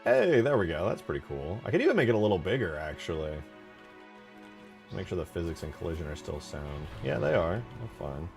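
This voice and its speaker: Low-voiced man casually thinking aloud. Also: very animated, fairly quick, vocal fry, darkish tone, faint music behind. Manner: thinking aloud, informal slangy phrasing. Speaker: game modder hosting a development stream. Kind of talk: casual unscripted commentary while working.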